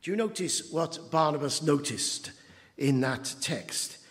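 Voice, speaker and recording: A man, preaching an anniversary sermon as pastor, halting with fillers and building to emphatic moments. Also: a little high, lively intonation. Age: 70s. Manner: slow, halting with many fillers, rising to emphatic. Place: church hall. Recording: long church reverb.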